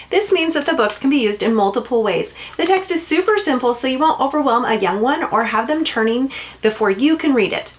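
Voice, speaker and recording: Female promo narrator, high register, swooping intonation, upbeat scripted pitch for a family audience. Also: fairly bright tone. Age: adult, parent of a school-age child. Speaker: children's book seller and reading coach.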